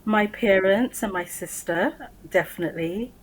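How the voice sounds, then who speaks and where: A woman's voice: mid-range voice, unhurried, breathy edge, rough recording. A woman in her forties, an author speaking as a guest on a TV interview over a video call, talking in an articulate, earnest way. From Barbados and London. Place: small room at home. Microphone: headset on video call.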